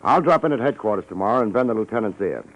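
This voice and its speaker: A man's voice: lowish voice, lively intonation, very fast, muffled recording. An actor playing a wry, easygoing American private detective in a 1950s radio drama, wisecracking and colloquial as he talks and narrates. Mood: dry, casual. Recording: old radio broadcast recording with music.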